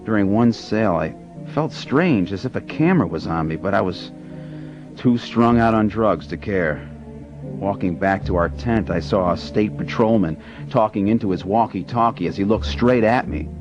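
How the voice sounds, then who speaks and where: A man's voice: fairly low pitch, expressive, moderate pace, close to an everyday voice. An actor playing a young man who recounts his days as a teen drug dealer in a radio drama, earnest and confessional. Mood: reflective. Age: young adult. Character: teenage drug dealer telling his past. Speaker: narrator. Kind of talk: radio drama narration and acting. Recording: dull radio broadcast with music. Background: music.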